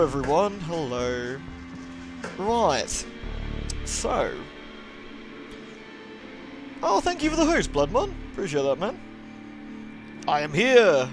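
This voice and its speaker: A British man hosting a livestream, chatting informally and in a friendly way as he greets and answers his viewers. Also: high-pitched. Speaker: live streamer, host. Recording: music playing behind the voice. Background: moody music.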